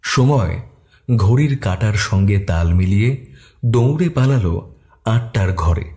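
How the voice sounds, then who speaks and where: A man narrating a detective story in a steady, expressive way. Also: fairly low pitch, measured pace.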